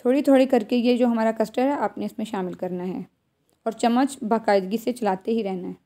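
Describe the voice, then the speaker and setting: Mellow-voiced Pakistani woman giving cooking instructions. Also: fairly high pitch, steady tone, a little fast. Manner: instructive, addressing viewers directly. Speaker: home cook presenting a recipe. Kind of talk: step-by-step cooking tutorial voice-over.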